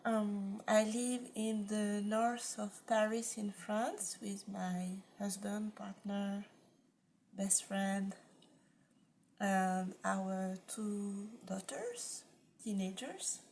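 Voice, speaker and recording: A woman's voice: mid-range voice, drawn-out words, slight background noise. A woman in her forties, not a native English speaker, chatting at home about her knitting, with many ums and restarts. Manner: hesitant, lots of ums, restarts and soft laughs. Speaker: knitting podcast host. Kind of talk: casual chat. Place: living room at home.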